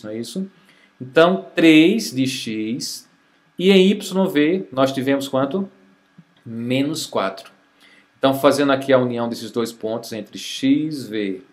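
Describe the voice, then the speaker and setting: A man's voice: mid-range voice, a little mellow. A Brazilian man teaching a recorded math review lesson, explaining step by step and checking in often with the class. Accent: Brazilian Portuguese. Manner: slow, patient explaining with frequent check-ins.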